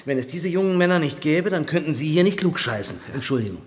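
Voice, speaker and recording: An actor playing a stern, defensive and cynical army colonel in a radio drama, with sardonic, clipped official phrasing. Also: male voice, a little high, lively intonation, fast talker, long reverb tail, natural voice. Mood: bitter, heated. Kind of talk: German radio drama dialogue.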